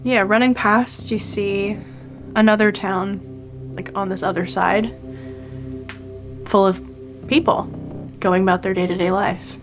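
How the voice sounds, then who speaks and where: An unhurried woman acts as game master in a tabletop role-playing game. She describes scenes off the cuff, haltingly, at a medium pitch. Music plays faintly behind her voice. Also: fairly even intonation. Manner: halting, thinking aloud. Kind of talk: improvised tabletop game narration.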